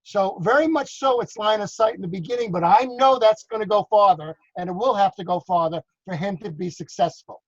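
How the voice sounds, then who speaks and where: A man's voice: sits high, brisk, hardly any pauses, no room sound. A man from New York on a video call, talking loosely about drone work, repeating words and asking others to agree. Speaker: drone business consultant. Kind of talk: casual video call discussion. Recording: dull lo-fi call audio.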